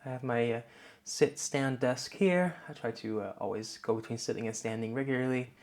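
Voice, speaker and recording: A man's voice: medium pitch, moderate pace. A man from the Netherlands with a light Dutch accent, casually vlogging to camera with lots of "uh"s, recorded on a clip-on mic in his home office. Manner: relaxed, many filler "uh"s, rambling explanations. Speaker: YouTuber. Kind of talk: casual vlog talk to camera. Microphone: wireless clip-on mic.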